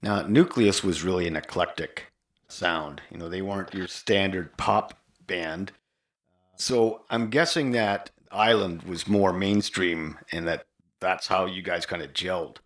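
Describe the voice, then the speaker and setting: A man's voice: low voice, unhurried, fairly bright tone. A man hosting a casual interview in a chatty, conversational style. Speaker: interviewer, host.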